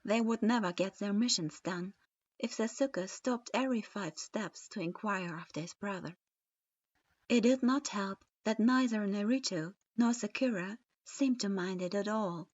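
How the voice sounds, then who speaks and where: A woman reading a comedic fan story aloud in a measured, deadpan manner. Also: mid-range voice, no room sound. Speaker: fanfiction reader. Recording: dull lo-fi recording.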